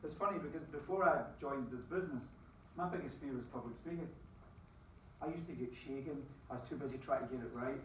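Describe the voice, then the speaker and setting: Middle-aged man, Scottish accent, motivational presenter, chats with the crowd, booming room echo, distant mic. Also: a little fast, a little hiss or hum. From Scotland. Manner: lively, quizzes the crowd, folksy asides. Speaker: business opportunity presenter. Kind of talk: motivational talk to a live audience.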